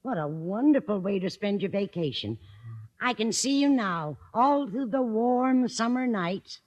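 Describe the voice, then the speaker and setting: A woman's voice: mid-range voice, lively intonation, measured pace, a little mellow. An actress playing a kindly, scatterbrained older landlady in a 1950s radio sitcom, fussy and sweetly oblivious.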